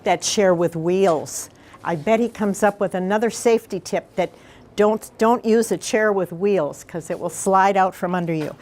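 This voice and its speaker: A woman's voice: medium pitch, darkish tone. Woman reading a picture book to children. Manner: explanatory, pauses to question the children. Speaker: mayor reading aloud to schoolchildren. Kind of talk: picture-book read-aloud with informal explanations to children.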